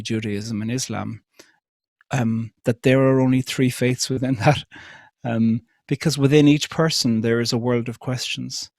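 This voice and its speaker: A man's voice: medium pitch, no echo. Reflective Irish man, dry close sound. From Ireland. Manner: measured, thoughtful, many rhetorical questions and soft hesitations. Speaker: speaker at an interfaith event. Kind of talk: reflective public talk.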